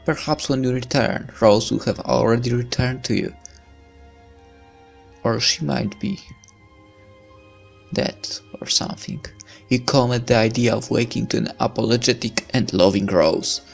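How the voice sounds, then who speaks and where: A man's voice: medium pitch, unhurried, creaky, long pauses, slightly rough. A man doing a let's play, reading a visual novel's story aloud dramatically with casual asides. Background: game music.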